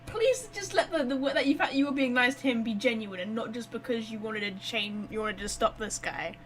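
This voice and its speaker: A woman's voice: fairly high pitch, fairly quick, fairly bright tone, slight background noise. A woman giving casual let's-play commentary as a gaming streamer, reacting bluntly and with some swearing.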